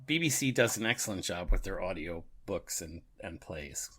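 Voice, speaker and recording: A man's voice: sits low, expressive, moderate pace, bright, forceful tone, slightly rough. A man co-hosting a podcast in casual discussion, chuckling and agreeing as he adds his commentary. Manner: reacts with chuckles and brief agreement. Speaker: podcast co-host giving commentary.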